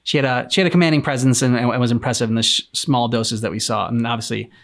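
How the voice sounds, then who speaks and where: A man with a medium-pitched voice speaks as a casual co-host of a reaction show. He talks in a loose, conversational way. His delivery is halting, with phrases broken up as he searches for words. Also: fairly even intonation, creaky. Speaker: co-host of game reaction channel. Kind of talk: casual discussion, channel chat.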